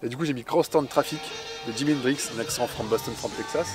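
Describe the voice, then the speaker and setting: A man's voice: mid-range voice, fast talker. A man co-hosting a casual chat about cars and music, easygoing and enthusiastic. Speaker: co-host.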